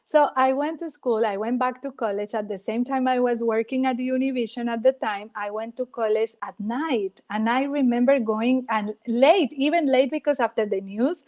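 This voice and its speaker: A woman's voice: on the high side, normal speed, hardly any pauses. A middle-aged woman from Colombia, speaking English with a Colombian Spanish accent as a native Spanish speaker, telling stories expressively in an interview. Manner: expressive, dramatic storyteller. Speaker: guest, former TV reporter. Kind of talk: storytelling interview.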